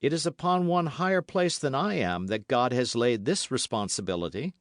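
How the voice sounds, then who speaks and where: A man's voice: medium pitch, no echo, clean studio sound, voice pushed up, natural voice. A man playing a stern, devout young officer. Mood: grave. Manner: serious and measured.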